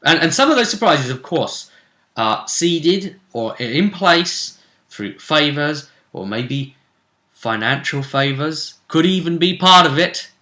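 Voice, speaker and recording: A man with a bright, forceful voice talks as the host of a casual tabletop gaming recap. His speech is informal and conversational, recorded with noticeable room echo. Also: on the high side, animated, slow. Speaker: host and game master of a tabletop role-play recap. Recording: roomy, echoey sound.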